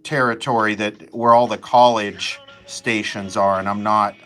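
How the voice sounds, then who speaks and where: An American man giving casual, off-the-cuff running commentary as a hobbyist host, haltingly thinking aloud. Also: lowish voice, fairly even intonation, pushed and bright.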